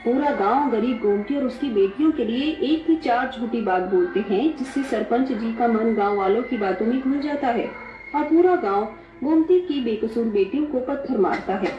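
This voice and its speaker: A woman's voice: on the high side, moderate pace. A woman narrating a scripted dramatic story. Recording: echoey, dull, music behind.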